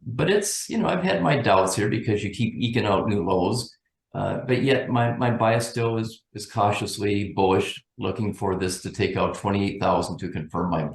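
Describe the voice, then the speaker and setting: A man giving unscripted market commentary as a guest analyst, thinking aloud in a measured, cautious way. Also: lowish voice, vocal fry, roomy sound.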